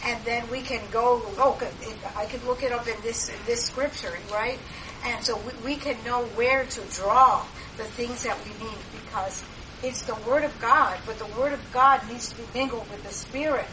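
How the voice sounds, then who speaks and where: A woman's voice: a little high, fairly even intonation, moderate pace. An older woman sharing at a church meeting, rambling with many fillers and restarts. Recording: distant mic, background noise.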